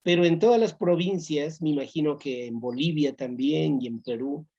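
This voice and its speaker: A man answering interview questions in a hesitant, halting way with many fillers. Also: a little high, dark tone, slightly rough. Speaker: interview guest, educator. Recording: muffled lo-fi recording.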